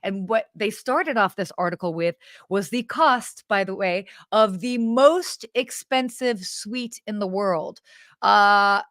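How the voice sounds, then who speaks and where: A woman's voice: medium pitch, slow pace, fairly bright tone, dry recording, studio quality. A woman co-hosting a live travel show in casual chat. She is bubbly, laughs often, and trails off and restarts her sentences. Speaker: podcast co-host.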